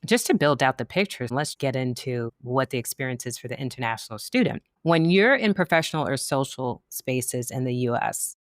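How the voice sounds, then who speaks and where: Podcast host, affirming, asks thoughtful questions, mid-range pitch, dry sound. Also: lively intonation, moderate pace, hardly any pauses, dry recording. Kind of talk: podcast interview.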